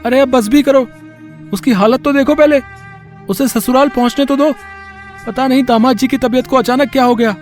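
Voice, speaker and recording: A man's voice: extremely high pitch, steady tone, moderate pace, a little mellow, soft background music. A man playing a protective, scheming and worried brother in a folktale, with emphatic, theatrical delivery. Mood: agitated.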